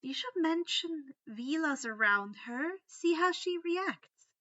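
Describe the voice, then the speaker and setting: A woman's voice: high voice, animated, slow, a bit bright, dull audio, pitched above the performer's own voice, heavily acted. A woman voicing a stern, earnest teenage schoolgirl who explains things insistently in a story reading. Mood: persuasive.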